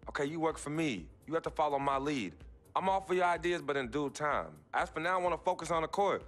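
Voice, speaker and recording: An actor playing a calm, firm young pro basketball star in a video game cutscene. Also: male voice, fairly high pitch, fast talker, soft background music.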